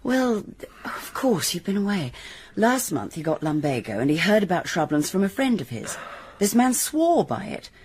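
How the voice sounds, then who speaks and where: An actress with a British accent playing a chatty, teasing secretary in a radio drama, with a husky voice. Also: female voice, lowish voice, big pitch swings, normal speed, a little mellow. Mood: confiding.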